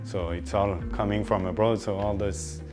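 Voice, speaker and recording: Low-pitched French man explaining, music behind. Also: fairly even intonation, normal speed. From northern France. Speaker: chef being interviewed. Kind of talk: informal interview testimony.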